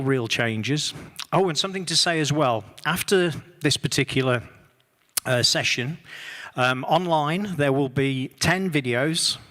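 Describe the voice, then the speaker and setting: A man's voice: medium pitch, pushed and bright, in a large hall. A man presenting a conference workshop on music work across borders, speaking with dry humor and frequent fillers. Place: conference room, online session.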